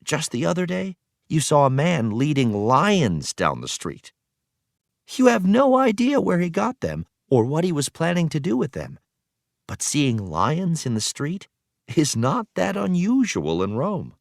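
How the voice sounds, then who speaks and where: Expressive man reading aloud, swooping intonation. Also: fairly high pitch, unhurried, creaky, no room sound, clean studio sound. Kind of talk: scripted educational read-aloud narration.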